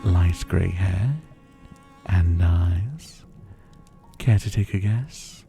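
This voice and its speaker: A man's voice: deep voice, mellow, faint music behind, exaggerated voice. A man playing a menacing, teasing vampire captor in an intimate ASMR roleplay, drawling and mockingly polite. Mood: wry, amused. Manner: slow, drawling, mockingly polite, with breathy chuckles. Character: menacing, teasing male vampire captor, silver-haired, about seventy.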